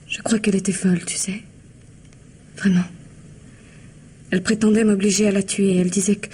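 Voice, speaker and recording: A woman with a dark, mellow voice, played by an actress, in a French radio drama. She is defiant, wounded and deeply in love, plaintive yet softly provocative. The old recording is faintly noisy. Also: natural voice. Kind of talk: French radio play acting.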